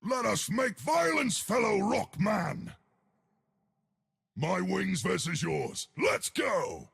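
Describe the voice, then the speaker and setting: An actor playing a giant, cheerful living stone colossus in a video game, good-natured and dim, with a gravelly, husky, booming voice and a hearty, emphatic delivery. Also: male voice, lively intonation, takes it slowly, a bit bright, shouting, full character voice. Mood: boisterous. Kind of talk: video game character voice lines.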